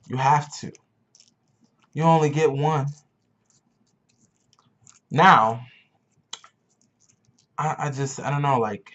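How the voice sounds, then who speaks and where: A man's voice: medium pitch, a bit bright, lo-fi sound. A man in his twenties giving an earnest motivational talk to his vlog audience, often repeating phrases for emphasis. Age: 20s. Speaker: vlogger.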